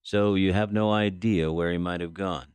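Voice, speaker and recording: Very low female voice, stern FBI special agent, formal, clipped, businesslike, audio drama, dry recording. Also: fairly even intonation, normal speed, a little mellow, smooth, dry recording. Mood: probing, official.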